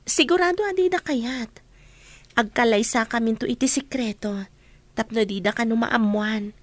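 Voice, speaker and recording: Radio drama student, played by a woman: young, earnest, secretive, high voice, close dry sound. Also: normal speed, dry recording, close to an everyday voice. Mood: hopeful, secretive. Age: young adult.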